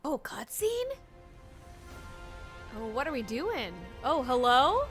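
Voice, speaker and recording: A woman streamer giving casual, excitable live reactions to a game in questioning exclamations. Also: sits high, slow, faint music behind.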